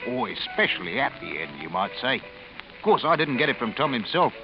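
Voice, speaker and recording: Wry barroom storyteller, very lively inflection, chatty vintage radio drama over music, dull recording. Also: male voice, medium pitch, normal speed. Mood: wry musing. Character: chatty, wry barkeeper spinning a tale to a stranger.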